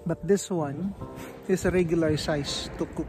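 A woman's voice: deep voice, normal speed. A woman narrating a casual fishing vlog outdoors on a beach, cheerful and laughing often. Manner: cheerful, laughs often, mixes in Tagalog words. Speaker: vlogger filming a fishing trip. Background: background music.

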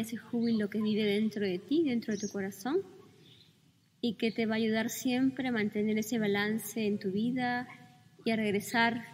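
Slow, high-voiced woman guiding meditation. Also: slight background noise. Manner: calm, soothing, gentle pauses between phrases. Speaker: meditation guide. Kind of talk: guided meditation, slow spoken instructions. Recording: long reverb with music behind.

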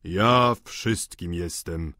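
A man's voice: low voice, sharp tone, no echo, clean studio sound, voice pushed down, heavily acted. A man voicing a sly, cool, sardonic older schemer in an audiobook, drawling with irony. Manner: unhurried, drawling, ironic.

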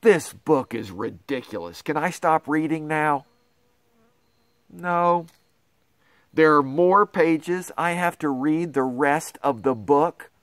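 A man's voice: on the high side, slow pace, fairly bright tone, no echo. A middle-aged man reading a picture book aloud to children with theatrical, exaggerated dismay and astonishment. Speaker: pastor reading to schoolchildren.

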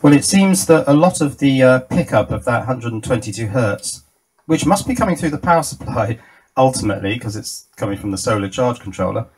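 A man's voice: mid-range voice, lively intonation, fairly quick, breathy edge, low-quality recording. A man giving a casual, hands-on technical explanation in an informal, methodical way, as a tutorial host. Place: indoors at a workbench.

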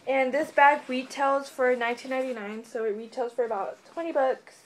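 A woman's voice: high-pitched, steady tone, brisk, slight background noise, poor audio. A young woman in a casual haul vlog, losing her voice and a bit sick, rambling in a bubbly way with lots of fillers, recorded on a webcam in her bedroom. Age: young adult. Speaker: vlogger. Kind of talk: casual haul video chat. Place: bedroom, indoors.

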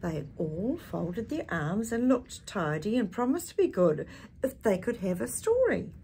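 An older woman with a husky voice reading a picture book expressively to young listeners, adding chatty asides and animated tag questions. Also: medium pitch, unhurried, a little hiss or hum. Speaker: children's storytime reader. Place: small indoor space with curtain backdrop.

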